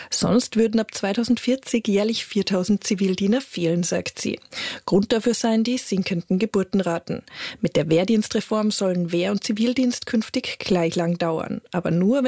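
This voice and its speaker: A woman reading radio news in a measured, formal way. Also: medium pitch, mellow, no room sound, studio quality. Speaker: newsreader.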